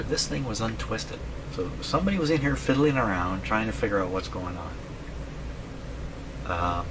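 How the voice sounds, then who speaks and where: Very low male voice, casual repair commentary, musing aloud, self-questioning, clearly noisy workshop recording. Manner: musing, asks himself questions. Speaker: radio repair hobbyist and video host.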